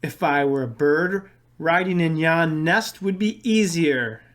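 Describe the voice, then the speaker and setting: A woman's voice: low voice, takes it slowly, voice pushed down. A woman voicing a pompous, hearty male knight in a storybook, declaiming in archaic style. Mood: grumbling.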